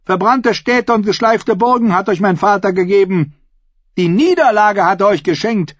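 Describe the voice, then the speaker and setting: A man's voice: sits high, moderate pace, a bit bright, no echo, muffled recording, pitched above the performer's own voice. A man voicing a bold, defiant young noblewoman in a dramatic audiobook, with a declamatory, challenging delivery. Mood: scornful, defiant.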